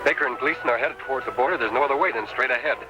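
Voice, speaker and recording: An actor playing a calm, upright masked western hero with measured, authoritative diction in an old radio drama. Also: male voice, medium pitch, lightly acted, poor audio. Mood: alert. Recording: vintage radio drama, faint music.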